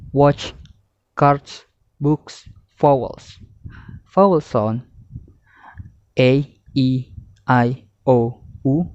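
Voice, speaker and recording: A young man presents a scripted lesson slowly and carefully, often word by word. His tone is mellow, and the recording is dry and somewhat dull. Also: mid-range voice, no echo. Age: young adult. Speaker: student presenter. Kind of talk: scripted lesson narration, reading slides. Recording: muffled, dull lo-fi audio.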